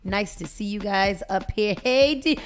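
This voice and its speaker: A woman's voice: medium pitch, unhurried, soft background music. A woman hosting a morning radio show, chatting casually, laughing a lot and greeting listeners by name. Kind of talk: casual chat. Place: radio studio.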